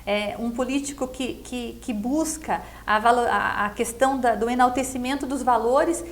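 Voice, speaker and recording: A middle-aged Brazilian woman with a high-pitched voice speaks in a conversational interview. She explains her views earnestly, as a candidate would, and keeps checking in with short tag questions. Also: steady tone, moderate pace. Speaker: political pre-candidate being interviewed.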